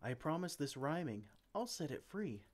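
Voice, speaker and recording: A man's voice: on the high side, sing-song, moderate pace. A man playing a flustered, well-meaning potion-brewing mage in an ASMR roleplay, theatrical and exclamatory. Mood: pleading, reassuring. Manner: theatrical, exclamatory, speaks to a silent assistant.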